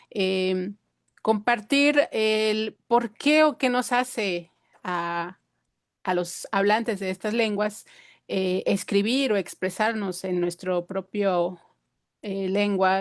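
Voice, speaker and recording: A woman whose first language is Purépecha, giving a conference talk and pausing often with fillers and restarts. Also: medium pitch, dry recording, clean studio sound. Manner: hesitant, many fillers and restarts. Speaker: invited speaker.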